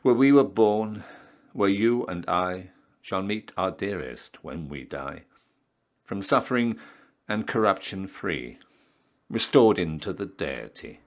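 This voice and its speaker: A man with a low-pitched voice gives a slow, dramatic poetry recitation. His phrasing is solemn and theatrical, and the ends of his lines often sink into a hush. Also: expressive. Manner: measured, solemn, theatrical phrasing with hushed line endings. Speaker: poetry reader, town crier.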